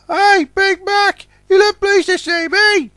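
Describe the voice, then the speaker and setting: A man's voice: very high voice, steady tone, slow pace, sharp tone, no room sound, clean studio sound, pitched above the performer's own voice, heavily acted. A man voicing a small cheerful male train, chirpy and eager. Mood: cheery.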